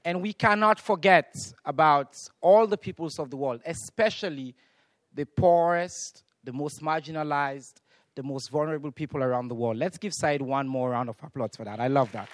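A man's voice: on the high side, moderate pace, dry recording, clean studio sound. A man from Sierra Leone moderating a youth forum, rousing the crowd and calling out questions to the room. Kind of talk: energetic audience moderation.

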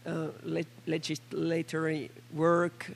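Finnish woman MP, hoarse and gravelly, fairly high pitch but a flat monotone delivery, halting with fillers. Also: a little hiss or hum. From Finland. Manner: halting, with many fillers and restarts. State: hoarse from a flu. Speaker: member of parliament. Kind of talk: formal floor statement at a panel meeting.

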